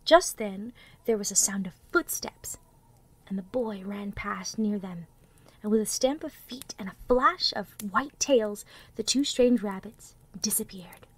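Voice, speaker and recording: A woman narrating a children's storybook expressively, with dramatic delivery that often drops toward a hush. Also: mid-range voice, unhurried, breathy edge, poor audio.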